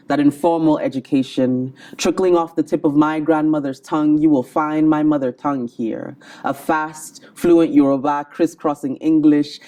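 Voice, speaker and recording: A woman performing a spoken word poem in a rhythmic, measured delivery. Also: low voice, mellow. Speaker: poet. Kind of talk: spoken word poetry recital.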